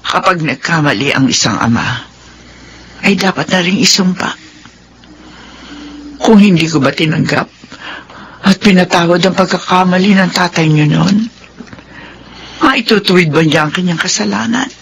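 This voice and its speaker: A Filipino woman playing an ailing, forgiving mother in a radio drama, gentle and measured. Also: lowish voice, slight background noise. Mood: gentle, sorrowful. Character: ailing, forgiving, patient mother of grown children.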